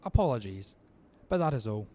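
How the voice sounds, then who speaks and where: Actor as a politely ominous necromancer. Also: male voice, mid-range voice, very animated, dark tone, slight background noise, lightly acted. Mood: formal, businesslike. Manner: formal, measured, deferential. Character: smooth, grave necromancer businessman, politely ominous. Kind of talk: audio drama acting.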